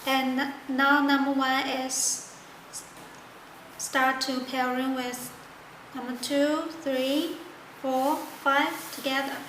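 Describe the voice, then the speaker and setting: A high-voiced woman demonstrates a product step by step. She speaks slowly in halting, simple non-native phrasing. The recording has clear room echo. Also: little pitch movement. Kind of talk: step-by-step tutorial narration. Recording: echoey room with loud background noise.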